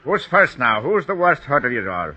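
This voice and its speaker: An actor playing a blunt, commanding police rescuer in a 1940s radio drama. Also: male voice, mid-range voice, very animated, normal speed, sharp tone. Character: gruff no-nonsense rescue cop, older man. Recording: muffled lo-fi old radio.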